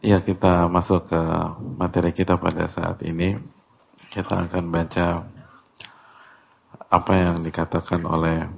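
A man giving an Islamic religious lecture as a teacher, speaking deliberately in short phrases with pauses, on a muffled recording. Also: low-pitched, creaky. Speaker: Islamic teacher leading a religious study class. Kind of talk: religious lecture explaining a classical text.

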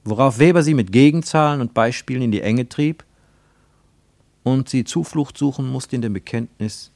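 A man narrating a literary radio travelogue in a measured, emphatic and carefully phrased way. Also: mid-range voice, gravelly, a little mellow, no room sound. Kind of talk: scripted literary narration, German radio play.

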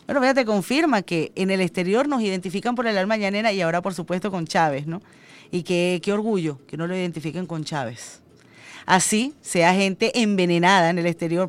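A woman's voice: mid-range voice, a little fast. A middle-aged Venezuelan woman hosting a radio show, reading news and chatting about her own trips with fillers. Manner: chatty, personal anecdotes with fillers. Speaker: radio host. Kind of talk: radio news and call-in chat.

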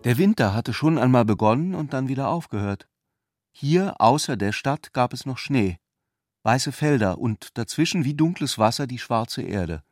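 A man's voice: medium pitch, expressive, normal speed, darkish tone, no room sound, clean studio sound. A man narrating a literary radio drama, reading descriptive prose. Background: music.

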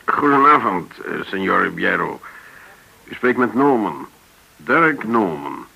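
A creaky, low-voiced middle-aged businessman. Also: big pitch swings, takes it slowly, bright, forceful tone, full character voice, rough recording. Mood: formal, polite. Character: Dirk Norman, courteous male businessman living off share sale. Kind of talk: naturalistic radio drama acting.

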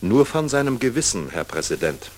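An actor playing a worldly middle-aged air force general with dry, ironic wit in a German radio drama. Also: male voice, mid-range voice, very animated, normal speed, lightly acted. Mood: sardonic. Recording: hissy 1960s mono radio recording, clicks.

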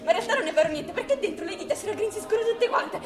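A dreamy, stressed young woman with a very high, girlish voice. She sounds airy and a little vain, in comic radio drama style. The room is echoey, with music clearly behind her. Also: animated, rapid-fire, crowd noise.

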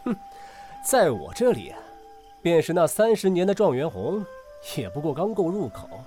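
Period audio drama: male actor as drunken, playful immortal ghost, lightly high voice, very animated, music beneath. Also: moderate pace. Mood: languid, disdainful. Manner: languid, playful, haughty archaic speech. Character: carefree, teasing drunken young-seeming male ghost, centuries old.

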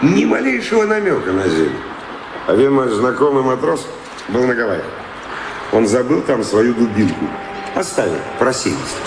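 A man's voice: medium pitch, very animated, normal speed, creaky, reverberant, background noise. An actor in a radio drama playing a roguish seafaring vagabond, a sly, teasing storyteller who savours his words. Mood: enticing, dreamy. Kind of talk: theatrical radio drama acting.

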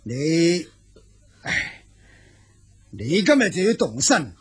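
A proud, indignant warrior king in a radio drama. His voice is badly roughened and husky. He speaks slowly and weightily, halting between emphatic phrases. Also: fairly high pitch, very animated, exaggerated voice. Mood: grave, reluctant. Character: proud, indignant Xiongnu king, husband and father.

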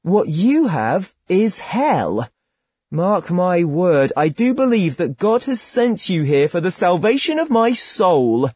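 Reader doing a lustful hermit man, slow, smooth-talking and pious-sounding, in a dry storybook reading. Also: mid-range voice, a little mellow, dry recording, pitched above the performer's own voice. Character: sly lustful male hermit, coaxing.

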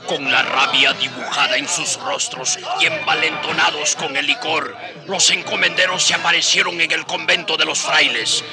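An actor playing a reminiscing eyewitness who narrates a historical radio drama in a husky voice. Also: male voice, a little high, steady tone, normal speed, few pauses, over music, crowd noise, lightly acted. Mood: dramatic.

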